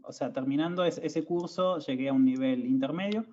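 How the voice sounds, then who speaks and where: A man's voice: a little high, fairly quick, warm tone. A young Argentine man hosting a podcast, chatting casually with lots of fillers and restarts. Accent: Argentine Spanish. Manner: hesitant, many fillers and restarts. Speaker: podcast host. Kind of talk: casual conversation. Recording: dull lo-fi audio.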